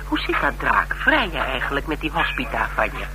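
An actor playing a cheeky, inquisitive investigator in a Dutch radio drama, bantering and needling with curt questions. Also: male voice, medium pitch, wildly swooping pitch, a little fast, pushed and bright, heavily acted. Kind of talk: naturalistic radio drama dialogue. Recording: humming, noisy old radio broadcast.

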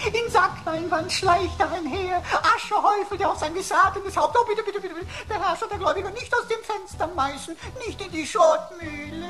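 A woman's voice: very high-pitched, moderate pace, few pauses, a bit bright, faint music behind. A woman voicing a florid, servile little talking computer in a radio drama, theatrical and whiny, with stammering and pompous grovelling phrases. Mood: grovelling, wailing.

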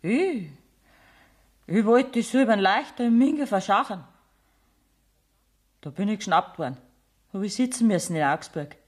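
A woman's voice: lowish voice, darkish tone, breathy edge. An actress playing a bitter, careworn rural mother with a Bavarian accent in a radio play, plain-spoken and aggrieved. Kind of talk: German radio play acting in dialect.

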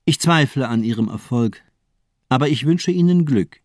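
An actor plays a menacing male doctor in a radio crime play. His speech is articulate and politely condescending, with a lively, swinging melody. He coolly mocks, in a very dry studio sound. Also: mid-range voice, normal speed, no echo, natural voice. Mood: ironic, amused. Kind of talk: German radio drama acting.

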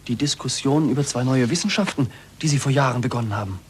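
A man's voice: medium pitch, fast, close to an everyday voice. An eager, respectful younger man voiced by an actor speaks with formal urgency in a mid-range voice. It is the theatrical style of 1940s radio drama, with light noise on the old recording. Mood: eager, urgent.

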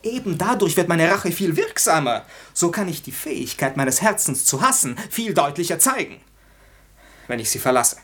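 An actor playing a lovesick, proud young gentleman in a German radio comedy from 1960, declaiming ardently in a theatrical style. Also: fairly high pitch, sing-song, moderate pace, slightly rough, exaggerated voice. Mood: defiant. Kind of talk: German 1960 radio play, classical stage comedy acting.

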